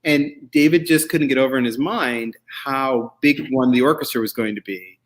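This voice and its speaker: A man's voice: mid-range voice, normal speed. A man hosting a casual panel chat about choral music, joking and informal, with strong opinions. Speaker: show host.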